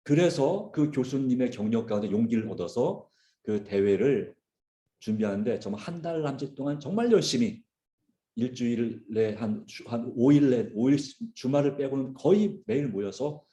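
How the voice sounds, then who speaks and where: A man's soft-edged, mellow voice carries through a reverberant room. He speaks as a pastor giving a sermon, earnestly and deliberately. Key phrases come back again for emphasis. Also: medium pitch. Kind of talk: sermon with personal illustration. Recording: roomy, echoing sound.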